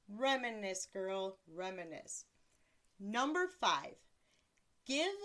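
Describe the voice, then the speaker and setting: A woman in her thirties with a deeper voice, hosting a casual solo podcast in a blunt, crude, self-mocking way and laughing often. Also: pushed and bright. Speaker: podcast host.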